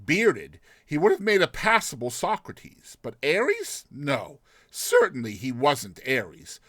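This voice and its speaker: Male narrator, higher-pitched and very varied in tone, theatrical delivery of classic fiction, dry close recording. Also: takes it slowly, dry recording. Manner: theatrical, expressive storytelling. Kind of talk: dramatized literary short story reading.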